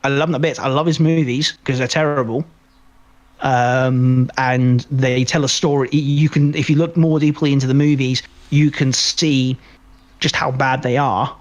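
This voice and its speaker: A man co-hosting a podcast in casual film review chat, rambling and halting as he repeats words mid-sentence. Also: mid-range voice, steady tone. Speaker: podcast co-host.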